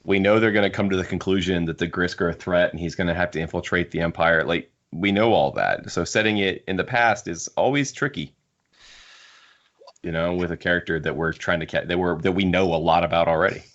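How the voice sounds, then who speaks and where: Low-voiced man in halting podcast chat. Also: fairly bright tone, muffled recording. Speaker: podcast host. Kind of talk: casual book discussion among friends.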